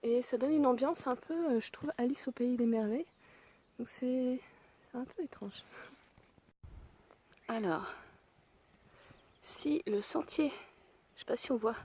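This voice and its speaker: A young woman with a hoarse voice from a cold, slightly out of breath, chatting casually to her camera while hiking a mountain trail outdoors. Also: fairly high pitch, darkish tone. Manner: chatty and self-deprecating, thinking aloud. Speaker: hiker vlogging a mountain trail. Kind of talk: casual spoken travel vlog.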